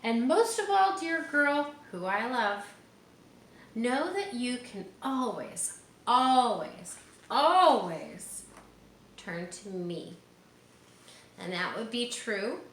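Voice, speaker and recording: Lady teacher reading a kids book out loud, takes her time, expressive n encouraging, echoey room. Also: a little high. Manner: expressive, drawn-out emphasis on key words; encouraging, explaining to young listeners. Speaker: teacher reading a picture book aloud to children. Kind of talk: read-aloud storytelling with chatty asides. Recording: roomy echo with background noise.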